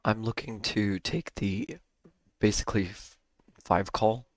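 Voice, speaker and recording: A man hosting a live day-trading stream, hoarse and losing his voice, talking casually with a cough drop in his mouth and clearing his throat. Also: fairly low pitch, animated, measured pace, no echo. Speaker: livestream day-trading host.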